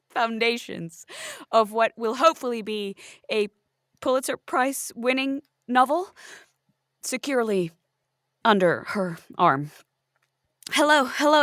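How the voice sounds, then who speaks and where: High-voiced woman, dry sound. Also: a bit bright, dry recording. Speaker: player in a roleplay podcast. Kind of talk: improvised comedy roleplay.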